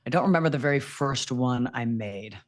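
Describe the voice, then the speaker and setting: A woman with a low voice, a podcast guest telling her story candidly in casual chat. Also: a little fast. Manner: candid storytelling, animated quoting.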